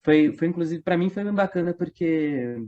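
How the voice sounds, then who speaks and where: A man in his twenties speaks in a fairly high voice with a Brazilian accent from São Paulo state. He chats casually, telling anecdotes as an interview guest. The sound is dull and lo-fi. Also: moderate pace. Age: 20s. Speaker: theatre company actor, interview guest.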